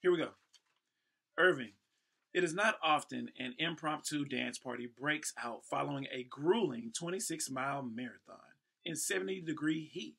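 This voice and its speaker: Gravelly American man in his thirties. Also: fairly high pitch, normal speed. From Houston, Texas, United States. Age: 30s. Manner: playful, breaks into ad-libs and shout-outs. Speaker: host and professional event MC. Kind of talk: reading a newspaper story aloud with casual asides.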